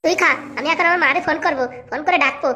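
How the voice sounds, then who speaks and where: A woman's voice: sits high, very fast, bright, forceful tone, a little hiss or hum. A woman playing a quarrelsome young village wife in a comic cartoon, scolding and complaining in a rural Bengali accent. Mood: scolding, indignant.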